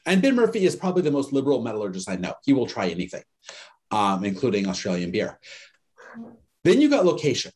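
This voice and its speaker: A man teaching an online class in a lively, conversational way, telling stories and acting out the people he quotes. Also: mid-range voice, fast talker. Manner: animated storyteller, acts out quoted people. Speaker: course instructor.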